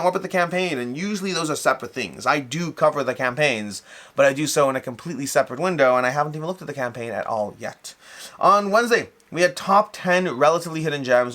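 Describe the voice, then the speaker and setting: A man's voice: mid-range voice, fairly bright tone. A man hosting a casual, unscripted hobby news recap, talkative and rambling, often rephrasing and qualifying what he says. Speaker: host of a board game review channel.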